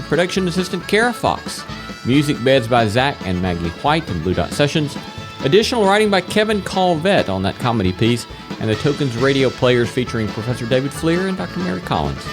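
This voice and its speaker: A man's voice: mid-range voice, sing-song, brisk. A man hosting a podcast, reading scripted closing credits. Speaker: podcast host. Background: acoustic guitar music.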